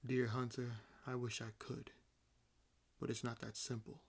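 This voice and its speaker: A man voicing an ancient vampire in an audio roleplay, nonchalant and amused, speaking with wry condescension and theatrical pauses. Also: medium pitch. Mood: wry, resigned. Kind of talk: roleplay audio acting, addressing the listener.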